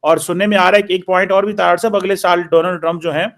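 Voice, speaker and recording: Man, high-pitched voice, talk show panelist, unscripted discussion. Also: fairly even intonation, fast, fairly bright tone.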